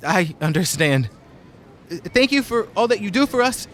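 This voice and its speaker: A man's voice: high-pitched, sing-song, moderate pace, fairly bright tone, slight background noise. A man playing an earnest, reverent islander who is devoted to a mystic, in a comedic audio drama. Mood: pleading, reverent.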